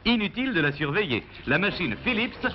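A man's voice: high-pitched, big pitch swings, a little fast, slight background noise. A man playing an insistent, questioning character in an old French appliance advertisement. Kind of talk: vintage French advertisement acting. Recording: dull old advert recording, faint music.

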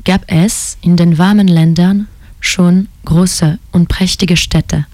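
A woman reading a story aloud in a measured, careful way. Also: lowish voice, fairly even intonation, warm tone, no echo. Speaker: reader. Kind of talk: slow read-aloud storytelling.

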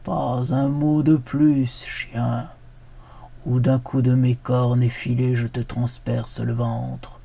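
A storyteller voicing a strong old ox who scoffs loudly and threatens with his horns. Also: level tone, gravelly, slightly rough, heavily acted. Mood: boastful. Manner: lowing, drawn-out and boastful.

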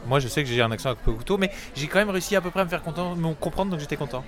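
A man hosting a school radio interview, asking quick joking questions on a ferry deck. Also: fairly high pitch, crowd noise. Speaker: student radio host. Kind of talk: casual interview.

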